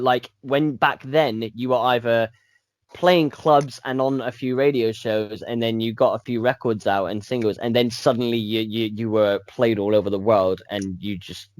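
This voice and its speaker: A man's voice: on the low side, steady tone, normal speed, dry recording. A man co-hosting a music podcast, talking with rambling enthusiasm. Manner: enthusiastic, rambling, repeats words. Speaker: podcast co-host. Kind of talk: casual chat about music.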